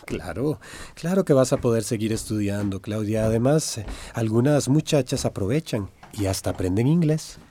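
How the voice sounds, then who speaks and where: An actor playing a pious, reassuring, deceitful pastor in a radio drama. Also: male voice, on the low side, lively intonation, normal speed, dark tone, a little hiss or hum, lightly acted. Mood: reassuring, persuasive. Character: smooth-talking, pious pastor who deceives families.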